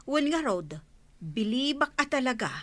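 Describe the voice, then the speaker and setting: Casting: teasing Ilocano village woman, high, lively, audio drama. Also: unhurried, exaggerated voice. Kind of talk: Filipino audio drama acting.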